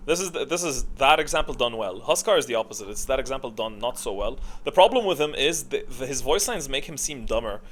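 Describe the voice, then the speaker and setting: A man giving casual, off-the-cuff ranking commentary in an informal, opinionated way, often halting to think aloud. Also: medium pitch, expressive, fairly bright tone. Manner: opinionated, informal, mildly profane. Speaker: video creator giving a tier list. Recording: clearly audible background noise.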